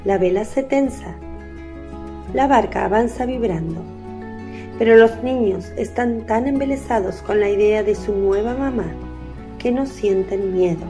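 Woman, scripted religious story narration, medium pitch, warm dark tone, light music underneath. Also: unhurried. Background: faint music.

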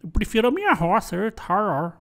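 An actor playing an excitable, simple country farmer in a cartoon, with a rural Brazilian caipira accent, exclaiming and rambling in a high put-on voice. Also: brisk. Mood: contented, musing. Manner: exclamatory, rambling, repeats himself. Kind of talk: animated cartoon monologue.

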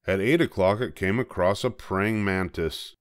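A man's voice: low-pitched, moderate pace. An older man narrating a children's storybook from the text, as a read-aloud. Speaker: storybook reader.

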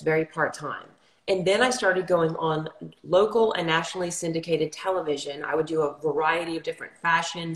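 A middle-aged woman from New Orleans, a color consultant, telling her story in a casual livestream interview over a video call. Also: low-pitched. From New Orleans, Louisiana. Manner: articulate, steady storytelling. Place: home room, indoors. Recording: echoey room on a video call.

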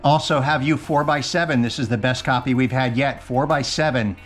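A man's voice: medium pitch, a little fast. Male ham operator, casual and upbeat, recorded on a desk camera at home with faint music behind. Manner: upbeat, frequent uh fillers. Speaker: ham radio operator and private pilot. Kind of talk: casual vlog narration and radio chat. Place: home radio room. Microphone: GoPro camera on the desk.

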